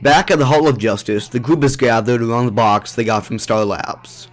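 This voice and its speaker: A man's voice: medium pitch, normal speed. A man reading scripted narration for an audio drama.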